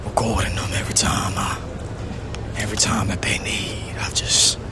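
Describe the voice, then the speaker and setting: A man delivering a rambling, deadpan comic monologue, repeating himself in a slow, half-whispered way and boasting about his voice. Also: fairly low pitch. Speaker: comedic vlogger. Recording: loud traffic noise behind.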